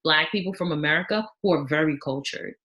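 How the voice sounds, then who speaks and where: A young woman of Haitian background talking casually as a podcast guest, outspoken and animated, acting out the voices she quotes. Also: low-pitched, a little fast.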